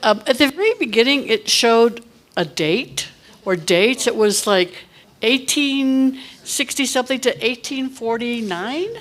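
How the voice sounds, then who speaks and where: A woman in the audience with a loud voice, asking a direct question about a detail. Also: fairly high pitch, lively intonation, measured pace. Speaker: audience member.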